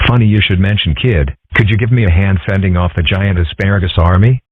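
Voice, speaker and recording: A man voicing deadpan, husky male animal characters in a comic strip dub. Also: low voice, a little fast, dry recording. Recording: muffled phone-like band.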